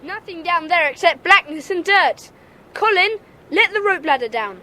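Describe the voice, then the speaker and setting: Bold young boy leader, very high voice, brisk orders, echoing hall, faint noise, audio play. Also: full character voice. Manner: brisk, commanding, hushed excitement. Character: bold, cautious schoolboy gang leader. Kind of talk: children's audiobook drama acting.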